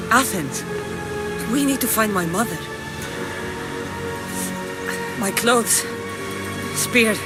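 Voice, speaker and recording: A fierce young Greek mercenary. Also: female voice, on the high side, expressive, slow pace. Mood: urgent. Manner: intense, clipped, defiant. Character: fierce young Greek mercenary woman, stubborn, protective of family. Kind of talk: video game cutscene acting. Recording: game music behind the voice.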